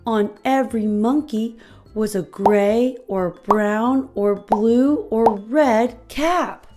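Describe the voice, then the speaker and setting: Unhurried woman reading a children's storybook. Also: fairly high pitch, warm tone, soft background music. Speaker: host reading children's books aloud. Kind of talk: expressive scripted storybook narration for children.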